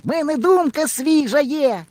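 Storybook boy hero, very high, plucky, mischievous and exclamatory. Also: unhurried, a little mellow, no echo. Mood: sly, eager.

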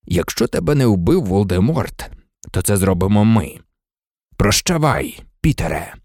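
A woman voicing a grave, resolute man who speaks softly and in a measured way in a storybook reading. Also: rumbling low, big pitch swings, gravelly, husky, no room sound, studio quality, exaggerated voice. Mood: quiet menace. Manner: soft-spoken, measured.

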